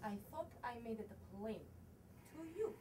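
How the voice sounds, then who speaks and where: A woman's voice: on the high side, expressive, moderate pace, crowd noise, close to an everyday voice. A woman acting a proud, melodramatic, imperious widow in mourning in a theatrical stage play. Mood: haughty, firm. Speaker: student actor. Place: small theater stage, far camera. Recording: distant stage recording with noise.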